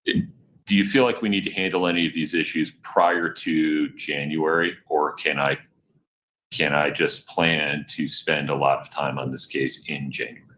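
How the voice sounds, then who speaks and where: A man presiding as judge at a court hearing, asking measured, deliberate questions. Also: rumbling low, fairly even intonation, bright, forceful tone, slight background noise.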